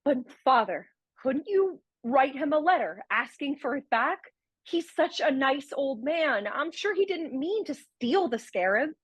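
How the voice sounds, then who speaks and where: A woman's voice: high voice, sing-song, a little slow, no room sound. An actress playing a breezy, affectionate young engaged woman in a comic radio play, speaking in an earnest, chatty way. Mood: pleading. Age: young adult.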